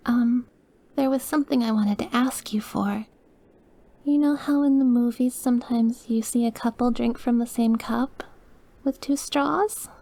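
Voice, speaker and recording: A woman playing a sweet, awkward, wistful young woman who is dying and quietly obsessive, in a soft, hesitant, intimate ASMR roleplay. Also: on the high side. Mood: shy, nervous. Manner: soft, hesitant, gushing, at times dropping to breathy murmurs. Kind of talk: ASMR audio roleplay, intimate one-sided dialogue.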